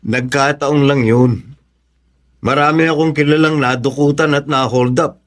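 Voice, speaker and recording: A man's voice: mid-range voice, fairly even intonation, unhurried, close to an everyday voice. A man playing a level-headed, matter-of-fact young jobseeker in a Filipino radio drama, mildly scolding. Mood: calm, resigned.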